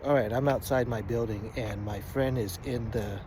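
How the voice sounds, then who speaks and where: A man's voice: medium pitch, fairly even intonation, moderate pace, noisy background. A man giving a casual gear review in an informal, explanatory way, as a vlogging product reviewer.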